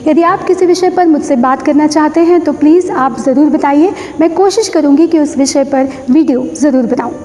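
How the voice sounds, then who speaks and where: Woman, high voice, explanatory tutorial delivery, repeats for emphasis, long echoing reverb. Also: normal speed, few pauses, warm tone. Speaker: host of a public speaking tips channel. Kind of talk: instructional talk to camera.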